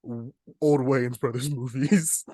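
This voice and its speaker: Male podcast co-host, medium pitch, casual conversational delivery, very dry sound. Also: steady tone, slow, mellow, no echo. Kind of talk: casual chat.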